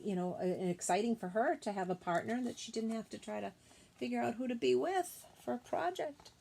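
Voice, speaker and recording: A woman teacher reading a children's picture book aloud expressively, pausing to explain things gently and simply to young listeners. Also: on the low side, slight background noise.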